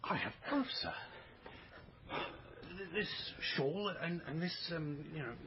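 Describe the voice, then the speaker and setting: An actor playing a theatrical, ingratiating rogue in a period radio drama, florid and wheedling. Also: male voice, a little high, slow pace. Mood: indignant, pleading. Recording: dull, noisy radio drama recording.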